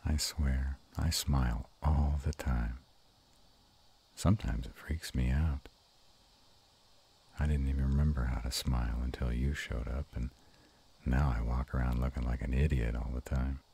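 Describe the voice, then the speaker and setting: Man voicing a very deep, husky were-dragon lover. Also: fairly even intonation, measured pace, long pauses, dark tone, full character voice. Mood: bashful, happy. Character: affectionate, bashful, gruff male were-dragon lover. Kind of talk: intimate romantic roleplay audio.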